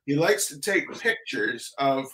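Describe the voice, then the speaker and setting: A man's voice: medium pitch, expressive, unhurried, sharp tone, slight background noise. A middle-aged man hosting an art talk show over a video call, with an exuberant, showman-like way of talking. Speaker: host. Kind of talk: lively video-call interview. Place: home studio room, indoors.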